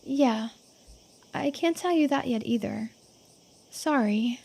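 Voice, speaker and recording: A woman voicing an earnest, apologetic young woman in video game dialogue, polite, soft-spoken and hesitant. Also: high-pitched, slow pace, vocal fry, husky, heavily acted. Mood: apologetic, evasive. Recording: game music behind the voice.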